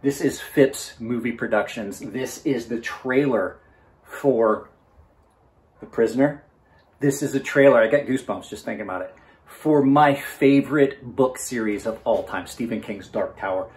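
A man's voice: mid-range voice, a little slow, a little mellow, husky. A man in his forties reviewing gear in a casual, unscripted way, chatting informally to his viewers as host. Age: 40s. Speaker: knife reviewer and channel host.

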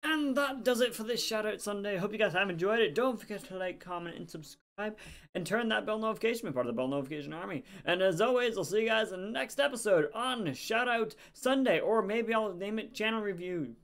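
A tired young man, rambling casually through channel shoutouts into a desk webcam in a small room. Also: high-pitched, lively intonation, brisk. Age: young adult. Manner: rambling, chatty, self-deprecating. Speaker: YouTuber.

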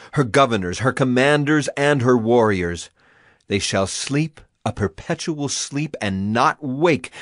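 A man's voice: fairly low pitch, no echo, clean studio sound, lightly acted. A man playing a solemn prophet proclaiming divine wrath in a declamatory, dramatized scripture reading.

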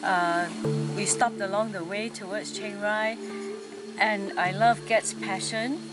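A middle-aged woman from Singapore speaks earnestly about her trip, pausing on "um"s. Loud music plays under her voice. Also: medium pitch, steady tone. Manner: earnest, reflective, with fillers. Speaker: workshop participant. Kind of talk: casual interview answers.

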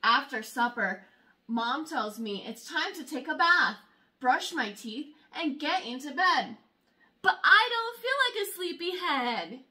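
A young woman reading a children's picture book aloud in an expressive, exaggerated storytelling way. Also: high-pitched, measured pace. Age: young adult. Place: small room at home, indoors.

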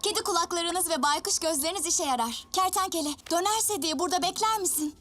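A careful young cartoon girl, very high in pitch. She speaks earnestly, like a small guardian giving instructions, over light music. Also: fast, bright, forceful tone. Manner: earnest, cautioning. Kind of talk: children's cartoon dub acting.

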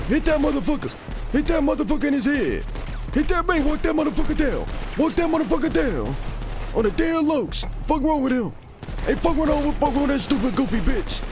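A hyped gamer shouting out profane street slang and repeating phrases during play. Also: high voice, sing-song, brisk, over music. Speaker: online game player. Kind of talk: excited in-game street slang.

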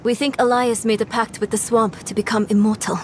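An actress playing an earnest, determined young apprentice in a dramatic fantasy game, with a direct delivery. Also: female voice, a little high, flat delivery, brisk, a little hiss or hum, lightly acted.

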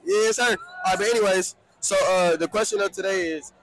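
A man's voice: high-pitched, fairly quick, very noisy. A young man doing a casual street interview at a crowded beach party, laid-back, repeating himself as he talks. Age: young adult. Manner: laid-back, repeats "all right, bet". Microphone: handheld mic. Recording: loud crowd and music behind.

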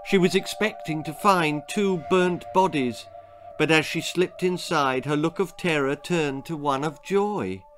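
An older British man reads a darkly funny children's tale as its author. His delivery is slow, measured and dramatic, in a slightly high male voice, with music behind him. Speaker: author reading his own story. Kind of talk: scripted storytelling for children, spooky and comic. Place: small book-lined room indoors.

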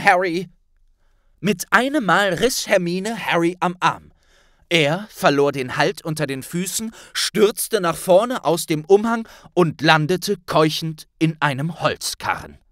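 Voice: mid-range voice, fairly bright tone, no room sound, studio quality. An audiobook narrator reading a dramatic scripted story.

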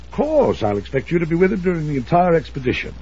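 An actor playing a wealthy, domineering older uncle in a 1950s radio drama, commanding, suspicious and emphatic. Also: male voice, fairly high pitch, sing-song, fast talker, warm tone, slightly rough. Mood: insistent. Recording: muffled, noisy old radio recording.

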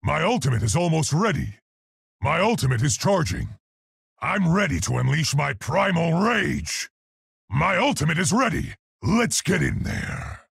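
A large, brave gorilla scientist in a video game. The voice is very husky and gruff, with a gravelly edge. He speaks in earnest, articulate, unhurried phrases, polite yet heroic. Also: fairly high pitch, sing-song, a bit bright, no echo, clean studio sound, exaggerated voice. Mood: agitated, shouting. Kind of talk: video game character voice lines.

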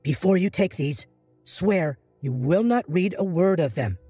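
A man's voice: high-pitched, lively intonation, a little slow, warm tone, husky, no room sound, faint music behind, voice pushed up, full character voice. A man voicing a bold teasing woman prisoner. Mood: curious.

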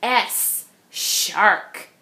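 A woman reading a rhyming picture book aloud to young children in an expressive, deliberate, sing-song manner.

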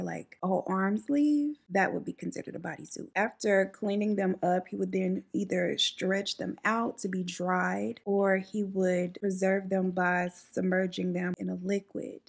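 一位嗓音略带沙哑气泡音的年轻女性，边化妆边闲聊讲故事。. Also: female voice, mid-range voice, gravelly, slightly rough. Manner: chatty, sassy asides, frequent "like". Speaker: beauty vlogger telling stories. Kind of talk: casual storytelling while doing makeup. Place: indoors at home, room behind her. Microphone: camera in front at close range.

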